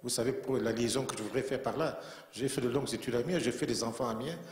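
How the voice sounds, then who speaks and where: A man's voice: medium pitch, quick pace. A man giving formal, earnest remarks as a panelist at a conference, from Central Africa. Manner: earnest, emphatic, repeats himself for weight. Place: conference room in a bank. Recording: echoey conference hall.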